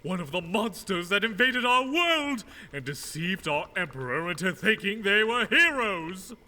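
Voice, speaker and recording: An actor playing a pompous, over-earnest monster-hunting guard, zealous, in an audio drama. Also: male voice, high voice, very animated, unhurried, sharp tone, full character voice. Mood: indignant. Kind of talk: scripted audio drama acting. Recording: long reverberant hall sound.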